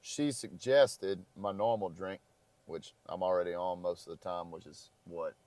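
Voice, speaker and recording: Hoarse low-voiced man. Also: a little slow, a little mellow, dry recording. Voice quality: crackly voice. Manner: rambling, easygoing. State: hoarse, losing his voice. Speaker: travel vlogger. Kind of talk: casual chat. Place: parked car.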